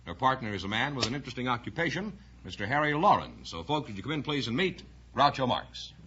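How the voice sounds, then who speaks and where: A man's voice: medium pitch, big pitch swings, fast, bright, forceful tone, dull audio, slight background noise. A man working as a quiz show announcer, speaking formally on a vintage radio recording.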